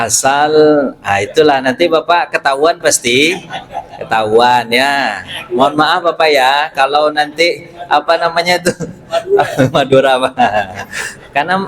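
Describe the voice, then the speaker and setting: A middle-aged man with a Pariaman Minangkabau accent giving a short mosque sermon, joking and quizzing the congregation with questions. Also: mid-range voice. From Pariaman, West Sumatra, Indonesia. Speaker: preacher and university lecturer.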